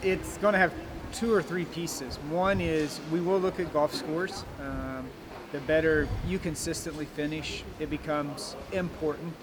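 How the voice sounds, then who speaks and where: Brief: male college coach, plain and encouraging, a bit higher pitched, taped outdoors near traffic. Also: steady tone, unhurried. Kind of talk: interview answers. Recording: noisy outdoor recording.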